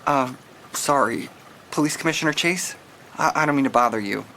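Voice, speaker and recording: Nervous young policeman, hesitant, apologetic, stammering, audio drama, medium pitch, background ambience. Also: moderate pace, pushed and bright, a little hiss or hum. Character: timid, nervous young male police rookie.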